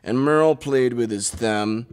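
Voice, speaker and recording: A young man with a raspy, hoarse voice, a guitarist chatting casually and laid-back with many fillers and laughs, on a studio mic in a small room. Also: medium pitch, measured pace, a little mellow. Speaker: guitarist guest. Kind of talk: casual interview chat. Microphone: studio mic on stand.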